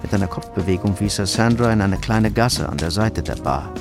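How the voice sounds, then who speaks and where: A man with a fairly low voice narrates a crime story for a radio drama. Loud music runs underneath his scripted telling. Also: a little fast.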